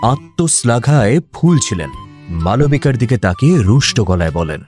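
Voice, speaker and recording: A man narrating a radio drama in an expressive, scripted storytelling style. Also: medium pitch, moderate pace. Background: music.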